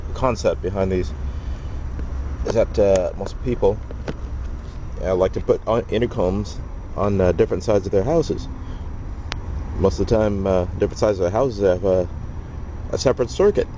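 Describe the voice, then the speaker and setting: A man giving an informal hands-on tutorial as a DIY presenter, explaining step by step in a halting way. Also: sits low. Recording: dull lo-fi camera audio, loud noise.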